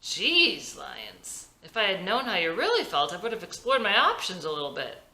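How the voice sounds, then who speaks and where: Cocky, wry male athlete hiding pain, read by a woman for a TV drama, bright and lively. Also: lowish voice, normal speed, reverberant, heavily acted, low-quality recording. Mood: teasing. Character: injured, cocky, joking male ballplayer.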